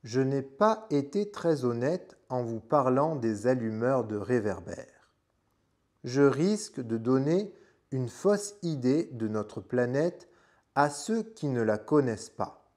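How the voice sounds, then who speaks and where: Slow, measured man reading a storybook aloud. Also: mid-range voice, very animated, darkish tone. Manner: measured, deliberate phrasing with pauses. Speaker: French teacher reading aloud.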